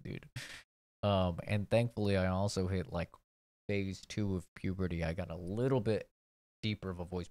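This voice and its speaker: A man's voice: deep voice, darkish tone, dry recording. A young man in his twenties chatting casually and poking fun at himself while reacting to an old video of his own. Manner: laid-back, chatty, self-mocking.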